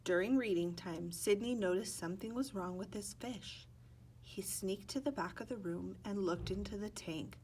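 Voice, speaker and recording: A woman's voice: medium pitch, moderate pace, darkish tone, a little hiss or hum, rough recording. A woman narrating a children's picture book expressively, stretching words and acting out sound effects. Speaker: storybook reader.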